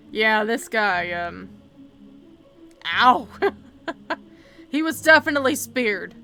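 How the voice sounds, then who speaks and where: A woman's voice: a little high, lively intonation, very slow, gravelly, long pauses, bright, forceful tone, soft background music. A young woman casually commenting as she plays a mystery game, thinking aloud and working through her guesses. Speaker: let's play streamer.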